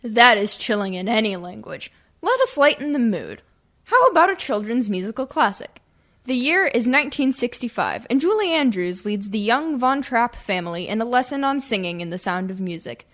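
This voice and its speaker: A woman's voice: fairly high pitch, lively intonation, normal speed, a bit bright. A woman hosting a scripted comedy show with theatrical, playful introductions. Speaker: host, announcer.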